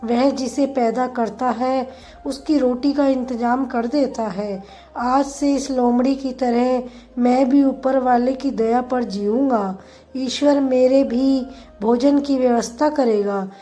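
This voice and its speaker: A woman narrator plays a naive, devout monk in a moral storybook tale. The words come slowly and earnestly, full of wondering, in a soft mellow tone. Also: high voice, fairly even intonation. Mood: devout, trusting. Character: naive devout male Buddhist monk, pondering and plaintive.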